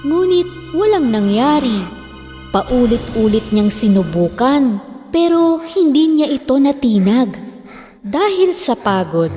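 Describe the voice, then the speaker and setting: Isang babaeng nagsasalaysay ng nakasulat na kuwentong pambata, may alingawngaw at mahinang musika sa likod. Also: female voice, medium pitch, dark tone. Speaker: storyteller. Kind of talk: scripted children's fairy tale narration. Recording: long reverb, faint music behind.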